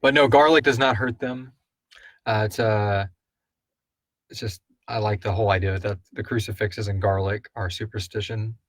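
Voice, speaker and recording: Male host, lowish pitch, dry sound, casual rambling Q&A delivery. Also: steady tone, normal speed, no echo. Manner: rambling, frequent "like". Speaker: host and writer of a horror audio drama. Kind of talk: casual live Q&A chat.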